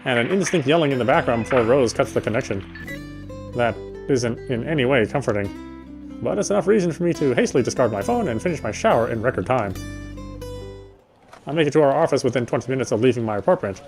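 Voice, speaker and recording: A man's voice: medium pitch, animated, quick pace, vocal fry, darkish tone, faint music behind. A man gaming and reading a visual novel's narration aloud, with casual asides. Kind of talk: let's play read-aloud with casual asides.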